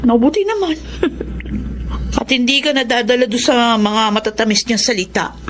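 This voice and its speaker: A woman's voice: a little high, normal speed, close to an everyday voice. A woman playing a brash, nosy young friend who works in a bar, blunt and exclamatory, in a Filipino radio drama.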